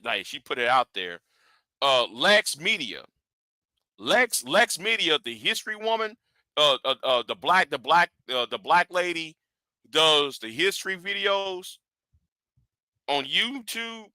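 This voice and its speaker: A man's voice: medium pitch, pushed and bright, clear, resonant tone, no room sound. A man in his forties, a live stream host, rambling in casual commentary with lots of stammered repeats. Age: 40s.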